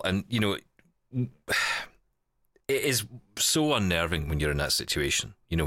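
A man's voice: extremely low pitch, fairly bright tone, dry recording, clean studio sound. A man in casual, reflective conversation, explaining things in a rambling way with tag questions. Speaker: podcast co-host.

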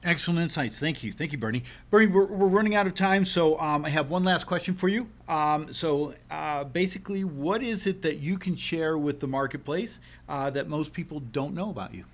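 A man's voice: on the high side, brisk, hardly any pauses. A man hosting an interview, asking long rambling questions full of fillers. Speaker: interview host. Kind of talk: podcast interview.

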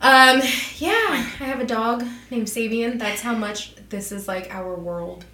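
A woman's voice: on the high side, fairly even intonation, unhurried, pushed and bright, echoey room, a little hiss or hum. A thirty-year-old woman co-hosting a podcast, chatting in a bubbly, jokey way and swearing casually, close to the mic. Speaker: podcast co-host. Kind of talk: casual chat.

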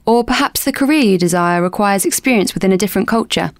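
A woman's voice: mid-range voice, lively intonation, quick pace, gravelly, no echo. A woman hosting a university podcast, reading a scripted welcome and then chatting with a guest in an upbeat, encouraging way. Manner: upbeat and encouraging, reacting with enthusiasm. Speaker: podcast host. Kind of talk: scripted introduction then casual interview.

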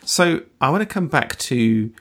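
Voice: medium pitch, lively intonation, a little slow, a bit bright, slightly rough. A podcast host interviewing conversationally, tentatively rephrasing questions along the way.

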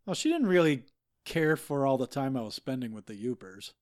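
A man's voice: mid-range voice, big pitch swings, a little mellow, no room sound, clean studio sound, lightly acted. An actor playing a flustered male guest expert who halts and restarts constantly in an improvised comedy podcast. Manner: halting, full of fillers and restarts.

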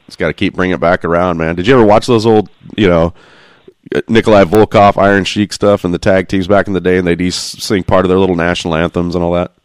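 A man hosting a casual podcast interview, relaxed and chatty, laughing often and swearing casually. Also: low voice, quick pace, smooth, dry recording. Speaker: podcast host.

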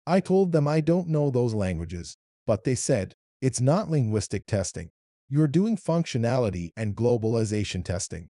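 A man's voice: mid-range voice, big pitch swings, moderate pace, mellow, no echo, studio quality. Iranian man with an Arabic-tinged accent. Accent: Arabic-influenced. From Iran. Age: 50s. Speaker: interviewee, localization leader. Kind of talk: read interview answers.

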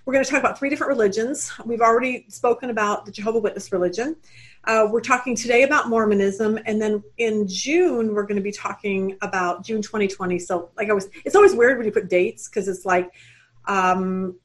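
A woman's voice: medium pitch, a little fast. A woman hosting a Bible study webinar over a video call, chatty and rambling, with lots of fillers and restarts.

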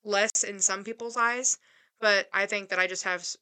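A woman in her twenties speaks earnestly and reassuringly, and her pace quickens when she gets excited. Her voice is very bright and sharp-edged, smooth and clear in tone. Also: medium pitch. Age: 20s. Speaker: podcast co-host. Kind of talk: casual chat.

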